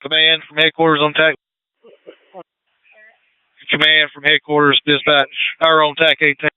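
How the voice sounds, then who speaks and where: Male fire dispatcher, formal and crisp, heard over a narrow two-way radio. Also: fairly high pitch, completely flat delivery, unhurried, no room sound. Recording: tinny two-way radio transmission.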